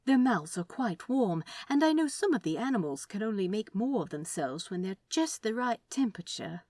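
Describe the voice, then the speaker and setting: A woman's voice: mid-range voice, big pitch swings, moderate pace, warm tone, no room sound, voice pushed up. A woman voicing a prim, lilting, earnest angel. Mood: earnestly puzzled. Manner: hesitant, polite, fussy phrasing. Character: prim, earnest, tentative male angel, curious and fretful.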